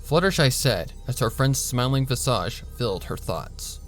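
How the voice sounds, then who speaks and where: Narrator: man, mid-pitched, steady measured reading, faint music bed. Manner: measured storytelling, voicing a flat doctor in quotes. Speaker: narrator and reader. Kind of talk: audiobook narration of fan fiction.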